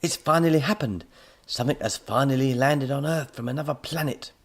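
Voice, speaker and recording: A man voicing an irascible mad professor in a children's story, exasperated, melodramatic and given to shouting. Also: mid-range voice, big pitch swings, moderate pace, gravelly, slightly rough, pitched above the performer's own voice, full character voice. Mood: excited shrieking. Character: irascible mad professor, shrieking and barking, easily enraged.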